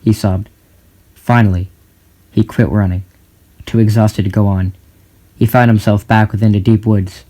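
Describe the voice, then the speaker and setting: Low-pitched man narrating a dramatic story. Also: mellow. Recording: music behind the voice. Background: clear music.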